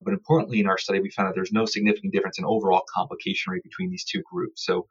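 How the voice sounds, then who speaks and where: Very deep-voiced, brisk man, dull sound. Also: big pitch swings, creaky, slightly rough. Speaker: interview guest, surgical researcher. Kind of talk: prepared-sounding explanatory answer.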